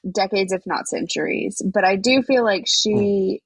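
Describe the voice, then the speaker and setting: A woman's voice: mid-range voice, a little slow, breathy edge, dry recording, rough recording. A woman co-hosting a podcast, chatting casually. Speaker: podcast co-host. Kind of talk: casual chat.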